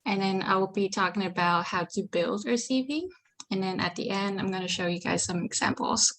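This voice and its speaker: A woman's voice: medium pitch, fairly even intonation, brisk, fairly bright tone, slight background noise. A woman from Vietnam with a Vietnamese accent, a PhD student giving a friendly online presentation over a video call.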